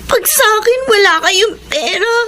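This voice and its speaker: Very high-voiced hurt Filipino schoolgirl. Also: expressive, moderate pace, bright, forceful tone, a little hiss or hum, exaggerated voice. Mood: tearful, hurt. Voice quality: very high, girlish. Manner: pleading, polite to elders. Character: tearful, hurt teenage schoolgirl, a neglected daughter. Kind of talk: Filipino radio drama acting.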